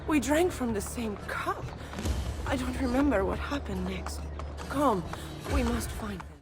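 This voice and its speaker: A woman's voice: on the high side, lively intonation, a little slow, music in the background. A woman playing an anxious, wronged courtesan in a video game, with an Italian accent. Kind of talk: dramatic video game cutscene acting.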